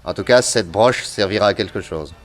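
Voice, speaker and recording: An actor playing a calm, authoritative male private detective in a French radio crime drama, questioning people methodically. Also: on the low side, moderate pace, faint music behind, close to an everyday voice.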